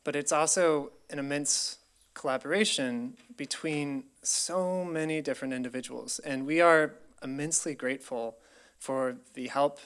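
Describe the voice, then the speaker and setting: A man's voice: fairly high pitch, steady tone, moderate pace, fairly bright tone, clean tone. Young american guy presenting research, earnest and thankful, lots of ums. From United States, Colorado. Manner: earnest, grateful, many ums. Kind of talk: public presentation.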